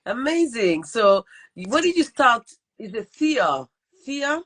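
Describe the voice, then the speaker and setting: A woman's voice: fairly high pitch, sing-song, slow. Woman, French accent, podcast host, chatty, lots of fillers, recovering from a cold, video call. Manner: chatty, warm greetings, lots of "okay" and "all right" fillers. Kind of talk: casual live podcast chat.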